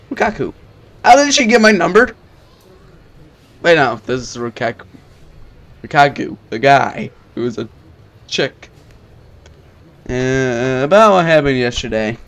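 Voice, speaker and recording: A man's voice: medium pitch, a bit bright. A man doing a let's play, reading game text aloud, stumbling over words and breaking in with casual reactions. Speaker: let's player. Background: video game music.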